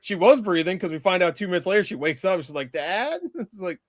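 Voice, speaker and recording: Higher-pitched man, dry sound, questioning film banter. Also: expressive, brisk, no echo. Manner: incredulous, questioning, slangy. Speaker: film reviewer, co-commentator. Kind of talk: casual chat, film review.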